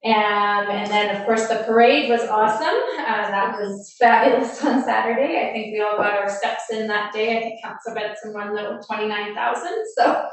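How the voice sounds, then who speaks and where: Woman, councillor, chatty, upbeat, echoey room. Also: mid-range voice. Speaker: city councillor. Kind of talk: giving a council report. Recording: echoey room, far from the mic.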